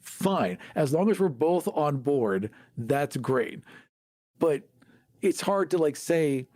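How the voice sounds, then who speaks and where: A man co-hosting a podcast, chatting casually in a candid, emphatic, animated way. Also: a little high, slow pace, no echo, clean studio sound. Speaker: podcast host. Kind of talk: casual chat.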